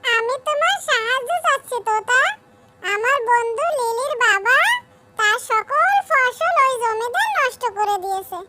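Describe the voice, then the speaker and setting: Woman as a very high-pitched little cartoon bird. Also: a little slow, pushed and bright, full character voice. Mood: determined pleading. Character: small clever determined female bird seeking justice. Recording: clearly noisy background.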